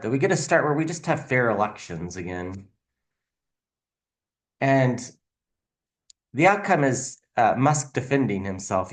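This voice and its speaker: A man casually reading tarot cards as a channel host, speaking in a halting, thinking-aloud way and offering speculative commentary. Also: medium pitch.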